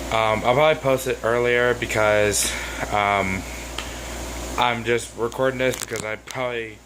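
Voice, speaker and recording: A hoarse man vlogging to his viewers, loose and rambling, with frequent ums and uhs. His pitch sits at an ordinary middle level, and his exasperated rants carry a faint hiss of background noise. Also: fairly even intonation, a little slow. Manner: rambling, exasperated, with many fillers. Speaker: sports vlogger. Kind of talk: casual ranting vlog.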